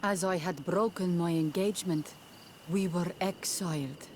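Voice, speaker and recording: A woman plays a wary older village mother in a video game. Her voice is on the low side, grave and earnest, with faint noise behind. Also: unhurried, darkish tone, close to an everyday voice. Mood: wistful. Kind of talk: video game dialogue acting.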